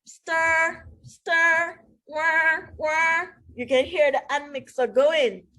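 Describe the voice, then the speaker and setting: Woman, high-pitched, slow, expressive storytelling for children, deliberate emphasis, teacherly, engaging. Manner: engages young listeners with questions, deliberate emphasis. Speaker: teacher reading aloud to children.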